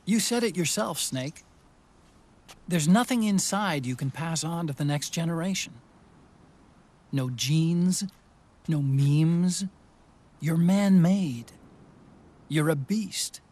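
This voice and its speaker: A loyal, tender-hearted companion in a dramatic game cutscene. His voice sits a little high for a man, with a husky softness, and he takes his lines slowly and earnestly. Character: loyal, gentle, earnest male friend of a soldier.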